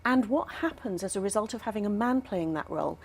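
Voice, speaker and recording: A woman's voice: medium pitch, expressive, quick pace, creaky, darkish tone. A woman speaking as an expert in a studio interview, explaining things thoughtfully with some hesitation. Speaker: academic expert guest, professor.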